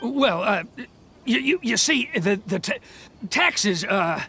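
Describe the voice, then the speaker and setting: A man's voice: high-pitched, sing-song, takes it slowly, vocal fry, bright, forceful tone, slight background noise, exaggerated voice. An actor voicing a haughty, corrupt male official in a video game, pompous, evasive and full of bluster. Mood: flustered.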